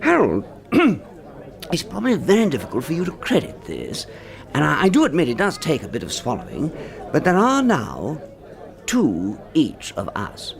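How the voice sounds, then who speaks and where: Flustered British schemer, wildly swooping delivery. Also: male voice, a little high, huge pitch swings, moderate pace, vocal fry, full character voice. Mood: placating, explaining. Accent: British English. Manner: theatrical, wordy, fretful. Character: flustered, scheming husband beset by his own duplicate. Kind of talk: British radio drama acting.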